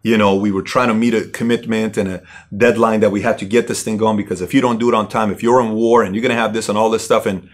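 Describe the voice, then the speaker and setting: A middle-aged man from Iran, a company CEO, telling his personal story in a halting way with many fillers and restarts. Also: lowish voice, steady tone.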